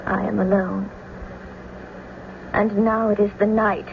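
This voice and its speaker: A woman's voice: medium pitch, level tone, slow, vocal fry. A woman playing a despairing, lovelorn young noblewoman in a classical tragedy, speaking in a solemn, poetic way. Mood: anxious, resolute. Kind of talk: classical tragedy radio acting. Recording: muffled, noisy old radio recording.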